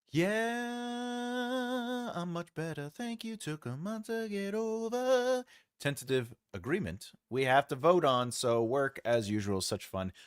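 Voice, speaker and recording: A man talks loosely and warmly to his livestream viewers, with a lively, swinging pitch. His speech wanders with fillers and jokes. The sound is dry and close to the mic. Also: clean tone, dry recording. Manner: rambling, joking, many fillers. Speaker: author hosting a writing livestream. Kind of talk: casual chat reading viewer comments.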